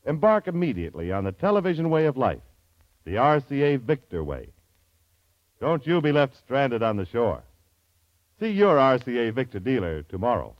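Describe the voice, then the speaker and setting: Lively vintage American radio announcer. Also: male voice, medium pitch, moderate pace, no echo. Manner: polished salesman delivery with emphatic phrasing. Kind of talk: 1940s-50s scripted radio announcing and advertising. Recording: dull vintage radio broadcast.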